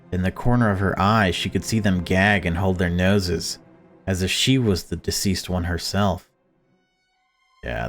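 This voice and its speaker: A man with a fairly low voice narrates a visual novel as a let's play host. He reads the story aloud and drops into casual commentary. Faint game music plays behind him.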